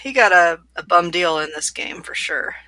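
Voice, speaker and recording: A woman's voice: on the low side, lively intonation, normal speed, sharp tone, no room sound. A woman co-hosting a podcast, casually discussing a book with others.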